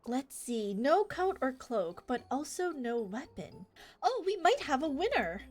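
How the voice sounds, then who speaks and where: A woman's voice: sits high, big pitch swings, a little slow, a little mellow. A woman game streamer on a live playthrough, reacting expressively and reading dialogue aloud. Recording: music behind the voice.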